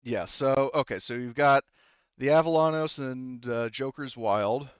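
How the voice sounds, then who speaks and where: Smooth-voiced man running a tabletop game. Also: medium pitch, a little slow, no room sound, highs cut off. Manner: informal, sweary, hesitant, thinking aloud. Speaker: game master. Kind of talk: casual tabletop game narration.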